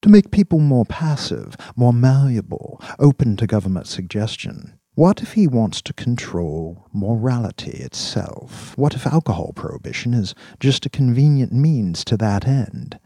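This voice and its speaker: A man voicing a cool, sardonic male kingpin in an audiobook, speaking in a deliberate, formal, dryly ironic way. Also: medium pitch, sing-song, mellow, slightly rough, dry recording, voice pushed up. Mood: probing, intense. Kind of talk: audiobook character dialogue.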